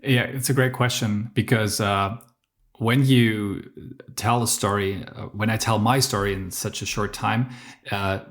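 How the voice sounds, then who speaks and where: A man's voice: fairly low pitch, fairly even intonation, a little slow, a bit bright. A man, speaking English as an as a podcast guest, telling his story with many fillers and restarts. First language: German. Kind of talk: casual interview talk.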